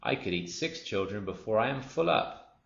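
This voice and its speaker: Sly greedy crocodile muttering to himself, read by a guy, low voice, roomy dull recording. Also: sing-song, normal speed, vocal fry. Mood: hungry. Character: greedy scheming male crocodile, huge, sly.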